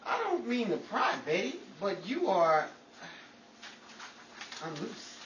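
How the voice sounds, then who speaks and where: A woman's voice: medium pitch, sing-song, takes it slowly, dull audio. A woman playing Henry, an eager, chummy, inquisitive male would-be actor, in 1940s radio comedy style. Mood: concerned. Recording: noisy echoey room, distant mic, clicks.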